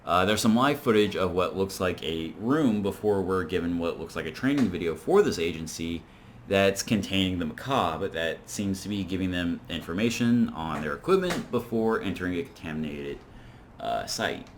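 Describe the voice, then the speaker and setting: Low-voiced man rambling through a recap. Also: expressive, normal speed, slight background noise. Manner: rambling, conversational. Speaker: video reviewer and host. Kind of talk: informal video essay recapping and commenting on a horror series.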